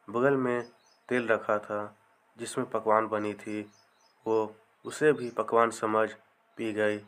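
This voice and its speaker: A man narrating a scripted comic folktale as a storyteller, in measured short phrases. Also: lowish voice, fairly bright tone.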